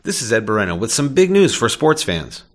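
A man reads a local sports news item for a community radio station. His delivery is upbeat and announcer-like, at an everyday middle pitch. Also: big pitch swings, fairly quick, heavy background noise. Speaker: news reporter. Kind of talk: scripted radio news read.

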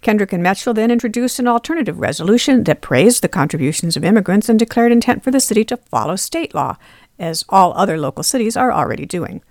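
A woman's voice: medium pitch, expressive, quick pace, dry recording, clean studio sound. A woman reporter reading scripted news narration.

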